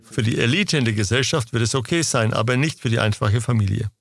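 A man answering interview questions in a steady, lecturing manner. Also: medium pitch, fast, dry recording. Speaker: interview guest.

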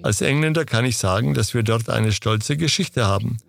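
A man answering interview questions in a steady, lecturing manner. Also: medium pitch, moderate pace, vocal fry. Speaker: interview guest.

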